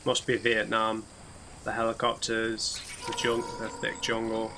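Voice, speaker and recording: A hoarse man commenting casually on a story game as he plays it, musing aloud and chatting to his viewers. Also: lowish voice, completely flat delivery, unhurried, noisy background. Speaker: let's play gamer. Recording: loud game gunfire and vehicles behind voice.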